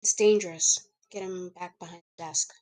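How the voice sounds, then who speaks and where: A woman's voice: lowish voice, steady tone, normal speed, a little mellow, natural voice, rough recording. A woman playing a ruthless, controlling wife and mother in a crime family, commanding and insistent, at a script table read. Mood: cold, insistent.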